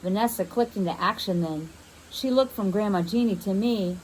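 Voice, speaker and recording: A woman with a mid-range voice reads a children's novel aloud. Her delivery is expressive first-person storytelling, like a teacher reading to pupils. A faint layer of noise runs behind the recording. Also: mid-range voice, normal speed, rough recording.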